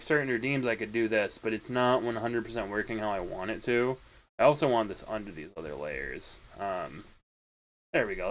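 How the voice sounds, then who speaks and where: A young man with a deeper voice chats casually into a desk mic while fixing his stream setup. His pitch sits in an ordinary middle range. He rambles, backtracks and corrects himself as he talks to viewers. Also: a bit bright.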